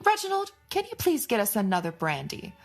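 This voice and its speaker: An actress playing a shrewd, poised crime boss in an audio drama, husky, polite and measured. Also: female voice, on the high side, wildly swooping pitch, soft background music, exaggerated voice. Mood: coolly calculating. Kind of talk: modern audio drama acting.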